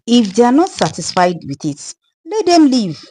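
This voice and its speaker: A woman's voice: on the high side, very animated, moderate pace, mellow, slight background noise, pitched above the performer's own voice. Woman putting on an arrogant, scornful Nigerian businessman. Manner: scornful, emphatic, colloquial. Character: arrogant, stingy, callous businessman, brother-in-law.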